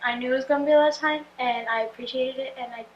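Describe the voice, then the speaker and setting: A woman speaking softly as a cast member, in a fairly high voice. The sound is roomy and a little dull, with faint noise behind it. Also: steady tone, fast. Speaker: actress in the film.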